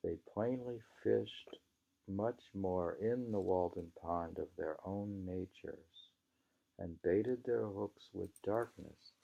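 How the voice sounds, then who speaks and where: An American man reading a literary book aloud in an unhurried, musing manner, often pausing to repeat or mull over phrases. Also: deep voice, vocal fry, warm tone, lo-fi sound. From New England, United States. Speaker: reader.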